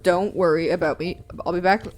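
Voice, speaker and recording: A woman's voice: lowish voice, steady tone, a little fast, darkish tone, soft background music. A woman speaking casually as a player improvising her part in a tabletop game. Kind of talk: casual improvised role-play.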